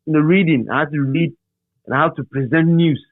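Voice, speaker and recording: A middle-aged Ghanaian man reminiscing as an interview guest in a halting, repetitive, animated storytelling manner. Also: on the high side, no echo. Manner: halting, repeats words and phrases, animated storyteller. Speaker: interview guest, radio DJ and presenter. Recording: thin phone-like sound.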